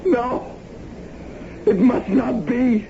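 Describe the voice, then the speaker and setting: An actor playing a terrified soldier in an old radio drama, anguished and urgent. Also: male voice, very high voice, drawn-out words, background noise, full character voice. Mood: horrified. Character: terrified soldier who hears his own burial. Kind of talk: 1970s radio drama acting. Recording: muffled old radio broadcast with music.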